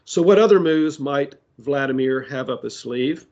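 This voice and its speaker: A man preaching a sermon that ties prophecy to current events, speaking deliberately with side remarks, his voice giving out. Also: mid-range voice, a little mellow, lo-fi sound. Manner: slow, deliberate delivery with asides. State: losing his voice.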